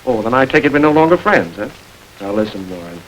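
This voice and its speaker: An actor playing a smooth, mocking gang boss who stays unruffled under questioning, in a 1940s film. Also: male voice, mid-range voice, sing-song, quick pace, fairly bright tone, slight background noise, low-quality recording. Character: suave smug male gang boss, adult.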